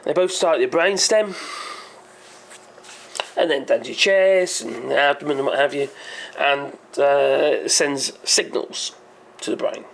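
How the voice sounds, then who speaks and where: A hoarse man hosting his own channel, explaining a topic in a rambling, hesitant way and often correcting himself. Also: mid-range voice, a little slow, long pauses, a bit bright, a little hiss or hum. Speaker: channel host. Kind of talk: casual explainer monologue.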